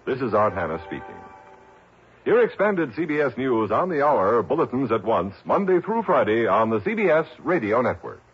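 A man's voice: mid-range voice, big pitch swings, moderate pace. A male American announcer reading scripted credits in mid-century radio style. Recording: muffled old radio broadcast over loud music.

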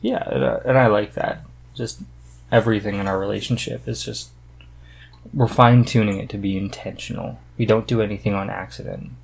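Male podcast host, lower register, relaxed conversational delivery, casual chat. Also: fairly quick, creaky, husky, low-quality recording.

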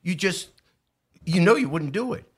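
A man's voice: high voice, lively intonation, normal speed, slightly rough. An American man giving conversational opinion commentary, earnest and emphatic, posing rhetorical questions. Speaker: talk show host.

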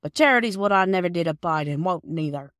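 A woman voicing a proud, stubborn but soft-hearted working-class man with gruff, rustic dialect speech in a storybook reading. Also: on the low side, expressive, fast talker, no room sound, clean studio sound. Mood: firm, proud.

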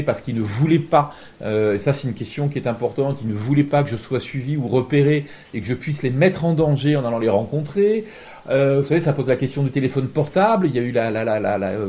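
A man with a mellow, soft-edged voice at a middling pitch. He answers as an interviewed reporter, deliberate and reflective, circling back to rephrase his points. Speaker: journalist, war reporter being interviewed.

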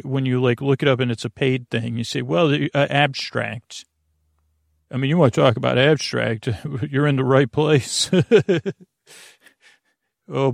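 A man hosting a sleep podcast in a meandering, halting bedtime monologue with self-deprecating asides. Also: medium pitch, creaky, no room sound, clean studio sound.

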